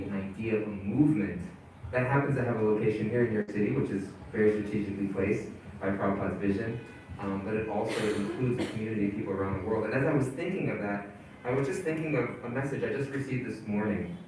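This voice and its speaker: A man with a low voice gives a casual presentation to his community. He stops and restarts often and fills gaps with little sounds. The mic is far off in a very echoey hall, with a faint hiss underneath. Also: darkish tone. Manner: halting, with many fillers and restarts.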